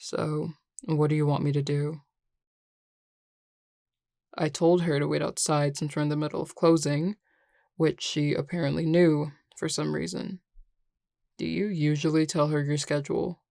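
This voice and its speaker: A woman's voice: low voice, level tone, unhurried, creaky, long pauses, mellow, no echo, clean studio sound. A woman playing a grumpy young male coworker in an audio roleplay, curt and sarcastic with exasperated delivery. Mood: sardonic, irritated. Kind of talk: scripted audio roleplay acting.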